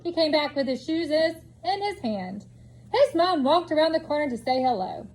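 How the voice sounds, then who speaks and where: High-pitched woman reading a children's story. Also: lively intonation, normal speed, darkish tone, rough recording. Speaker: storybook reader. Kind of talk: children's picture book read-aloud.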